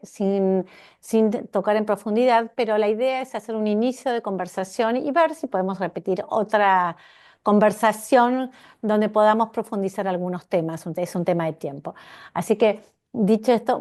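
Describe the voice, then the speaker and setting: A woman, hosting and introducing an interview in a measured way, with a few hesitant fillers. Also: medium pitch, darkish tone. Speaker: interview host.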